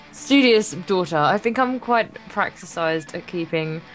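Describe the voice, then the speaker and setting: A woman's voice: on the low side, animated, slow pace, a bit bright, soft background music, poor audio. A young woman co-hosting a let's play, reading visual novel text aloud with playful, casual banter.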